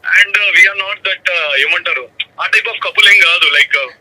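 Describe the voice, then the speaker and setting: A man's voice: sits high, slow, noisy background. A man talking casually over the telephone, informal, repeating short words and mixing in English. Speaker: guest's husband, phone caller. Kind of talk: casual phone chat. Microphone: phone call.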